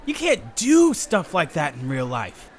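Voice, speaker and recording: A man's voice: a little high, huge pitch swings, measured pace, slight background noise. A man voicing a blunt, sceptical teenage boy in a comic dub. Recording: crowd chatter behind the voice.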